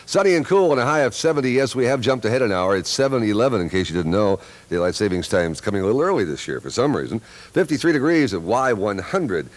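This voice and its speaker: A man's voice: lowish voice, sing-song, fast talker. An American man in his thirties hosting a morning FM radio show with upbeat DJ patter on a close studio microphone. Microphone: close broadcast microphone on boom. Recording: music behind, 1980s radio aircheck.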